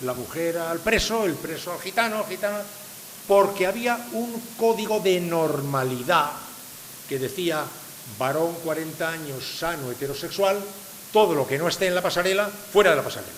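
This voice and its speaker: Older guy from san sebastián giving a talk, fires rhetorical questions at the crowd, slightly high voice, echoey hall w faint hiss. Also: lively intonation, moderate pace, a bit bright. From San Sebastián, Spain. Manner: rhetorical, questioning the audience, emphatic. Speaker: lecturer. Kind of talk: public lecture to a live audience.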